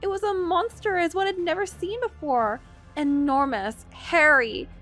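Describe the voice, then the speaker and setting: A woman's voice: very high-pitched, a little slow, fairly bright tone, faint music behind, pitched above the performer's own voice. A woman playing a young lady-in-waiting in a visual novel, wailing in faked terror with melodramatic flair. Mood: dramatic dread. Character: frantic young lady-in-waiting faking terror over an abduction.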